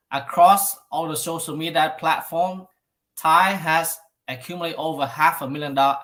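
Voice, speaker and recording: Halting man hosting an interview. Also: fairly high pitch, a bit bright. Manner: halting, many fillers. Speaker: podcast host.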